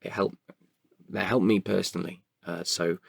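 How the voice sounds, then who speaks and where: A man giving candid, halting answers as a podcast guest, often repeating words as he goes. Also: low voice, fairly even intonation, vocal fry, breathy edge, no room sound, studio quality. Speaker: podcast guest, writer and performer.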